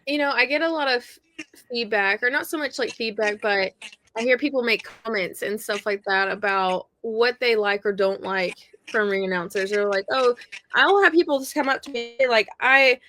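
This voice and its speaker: Woman in her 30s, chatty interview guest, slightly high pitch. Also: moderate pace. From Oklahoma. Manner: chatty, laughs often, animated storytelling. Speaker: wrestling ring announcer and guest. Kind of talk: casual podcast interview.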